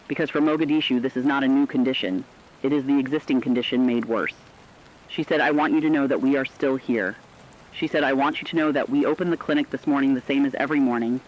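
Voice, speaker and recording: A man's voice: mid-range voice, natural voice. Dull-sounding, somber male actor as radio host. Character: somber, reflective radio host relaying survivors' messages. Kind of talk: scripted intimate radio monologue.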